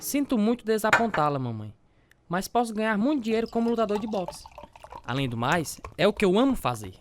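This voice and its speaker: A man's voice: sits high, very animated, brisk. A man playing an earnest former boxer who tells his life story in a radio drama. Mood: affectionate, earnest. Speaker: actor narrating a radio drama. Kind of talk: first-person dramatised narration. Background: guitar music.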